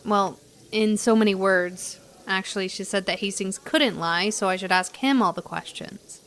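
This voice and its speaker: A woman's voice: mid-range voice, moderate pace, a bit bright, natural voice. A woman playing a bewildered young woman seeking answers in an audio drama. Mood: questioning.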